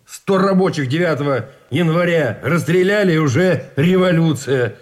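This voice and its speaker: An actor in a Russian radio drama playing a sardonic, dismissive prisoner of war with a curt, dry manner. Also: male voice, fairly high pitch, normal speed, lightly acted.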